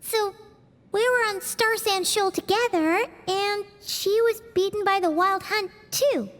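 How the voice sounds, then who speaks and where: A woman voicing a small, chatty, excitable female fairy companion in a game cutscene. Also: extremely high pitch, big-room sound. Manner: chatty, blurts out reactions, quick to exclaim.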